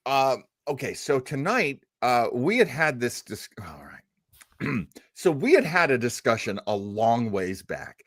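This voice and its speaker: Man, radio host, casual rambling chat, halting delivery, animated intonation, dry close sound. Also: medium pitch, dry recording. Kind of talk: casual chat introducing old radio shows.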